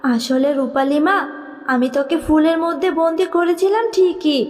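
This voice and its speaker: A woman's voice: high voice, a little slow, long reverb tail. A woman voicing a loving, protective fairy mother in a cartoon, speaking tenderly. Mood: gentle.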